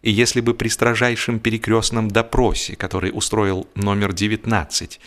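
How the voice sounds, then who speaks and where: Male narrator for comic novel, lowish voice, expressive and wry, music underneath. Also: moderate pace. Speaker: audiobook narrator.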